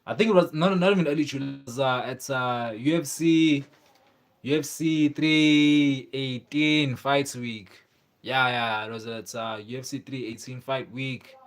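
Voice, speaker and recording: A man's voice: mid-range voice, steady tone, unhurried. A young man hosting a livestream, chatting casually and rambling with many fillers and restarts. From South Africa. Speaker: livestream host. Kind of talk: casual livestream chat about fights.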